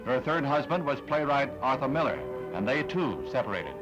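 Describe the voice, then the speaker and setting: A man's voice: mid-range voice, very animated, moderate pace, dull audio. A man narrating a 1960s newsreel in a solemn, formal announcer manner. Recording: vintage newsreel soundtrack with music. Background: background music.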